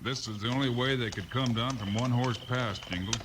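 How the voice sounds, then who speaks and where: Casting: heroic frontier lawman played by a man, mid-pitched, commanding, American, on a noisy vintage recording. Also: brisk, natural voice. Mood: calm planning. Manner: calm, decisive, commanding. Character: Wild Bill Hickok, steady heroic western lawman. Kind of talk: 1950s radio western acting.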